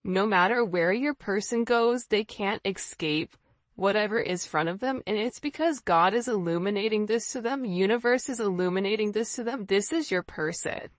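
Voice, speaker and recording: Female tarot host, mid-pitched, conversational, addressing the viewer directly; close dry sound. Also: normal speed, dry recording, lo-fi sound. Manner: conversational, often checking in with "right?" and "you know". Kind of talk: informal spiritual tarot reading, speaking directly to the viewer.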